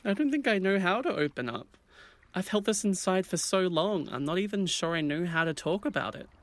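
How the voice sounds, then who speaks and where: A man's voice: high voice, lively intonation, a little fast, a bit bright, dry recording. A man voicing an insecure, self-deprecating swan in an audio drama, hesitant and awkward in speech. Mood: despondent.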